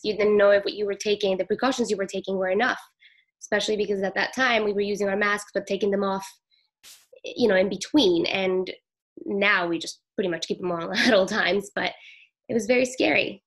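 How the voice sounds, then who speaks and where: A middle-aged woman from Peru, an emergency room nurse, giving a halting, soft-spoken personal account in an interview. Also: medium pitch, steady tone.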